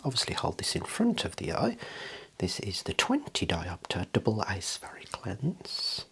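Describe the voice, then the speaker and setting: A very breathy, whispery voice with a low pitch, speaking in a hushed ASMR style. The delivery is slow and gentle, like a clinician carefully demonstrating instruments in a role play. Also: huge pitch swings. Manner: hushed, gentle, deliberate explaining.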